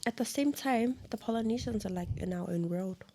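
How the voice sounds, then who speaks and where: Mellow-voiced New Zealand woman, casual. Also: on the high side, a little fast, slight background noise. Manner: casual, filler-heavy with "like" and "um". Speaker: podcast co-host. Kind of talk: casual chat.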